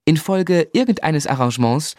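An actor playing a grown man narrating memories of his hard boyhood in a measured, reflective literary style. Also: fairly high pitch, lively intonation, a little mellow, dry recording, clean studio sound, natural voice.

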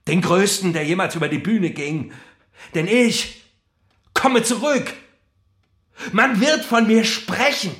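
A man's voice: high voice, slow pace, long pauses. An actor playing an embittered, boastful male convict coming apart in prison, in a theatrical, obsessively repetitive radio play monologue. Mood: defiant, raging. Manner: obsessively repeating, swinging from whispers to shouts. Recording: roomy echo, music behind. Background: music.

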